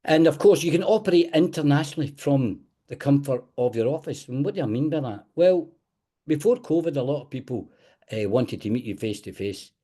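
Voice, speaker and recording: A man's voice: mid-range voice, lively intonation, brisk, dark tone. A middle-aged man with a Scottish accent, teaching an online webinar with emphatic, direct advice. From Scotland. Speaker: webinar host and football agent coach. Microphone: video call.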